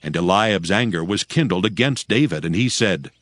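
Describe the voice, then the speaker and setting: Low-voiced, expressive male scripture narrator. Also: normal speed, dry recording. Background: faint background music.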